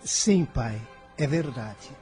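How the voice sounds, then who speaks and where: An actor with a Brazilian accent playing an earnest, serene, devout boy of fifteen in a radio drama, speaking in a measured, formal way. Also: mid-range voice, animated, dark tone, faint music behind. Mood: respectful, calm.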